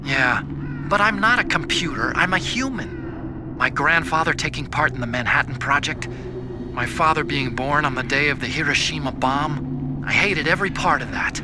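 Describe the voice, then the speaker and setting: Earnest, higher-voiced gentle man, acted. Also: normal speed, very noisy, natural voice. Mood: bitter, wistful. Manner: confessional, earnest. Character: sensitive, earnest male scientist, sorrowful and gentle. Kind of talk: dramatic video game voice acting. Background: loud music.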